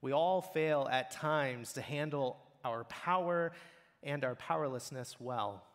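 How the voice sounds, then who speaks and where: A man's voice: mid-range voice, slow pace. A man preaching a sermon in an earnest, blunt way that builds in intensity. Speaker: pastor. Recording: long hall reverb.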